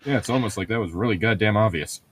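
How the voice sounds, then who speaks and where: A man's voice: lowish voice, fast, husky, dry recording. A man co-hosting a read-aloud podcast, mixing book narration with casual, irreverent joking. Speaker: podcast co-host reading a novel aloud with commentary.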